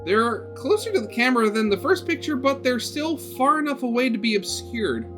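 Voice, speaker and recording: A man's voice: very high-pitched, expressive, normal speed, music in the background. A man narrating a visual novel aloud as a gaming host, switching between storybook-like reading and offhand, sarcastic commentary.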